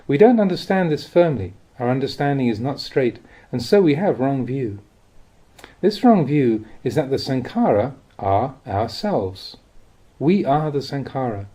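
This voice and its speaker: A man reading spiritual teachings as scripted narration, in a measured, instructive way with deliberate phrasing. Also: medium pitch, mellow, breathy edge. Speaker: reader of Buddhist teachings.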